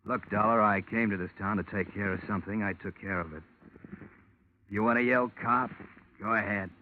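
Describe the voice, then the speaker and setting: A man playing a sneering ex-con, deep and low. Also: steady tone, moderate pace, natural voice. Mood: sullen. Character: bitter male ex-convict, sullen and mocking. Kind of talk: 1950s radio drama acting. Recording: thin tinny old radio recording with hiss.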